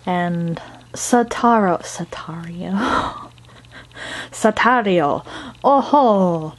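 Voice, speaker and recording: Slow-paced, goofy woman chatting casually. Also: lowish voice. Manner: goofy, playful, self-interrupting. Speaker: amateur comic dubber and artist. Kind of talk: casual rambling chat over drawings.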